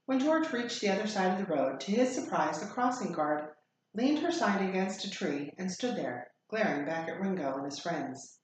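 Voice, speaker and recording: A woman reading a children's story aloud as a teacher would, in an expressive, deliberate storytelling style. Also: mid-range voice. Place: echoey room. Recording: very echoey room, faint noise.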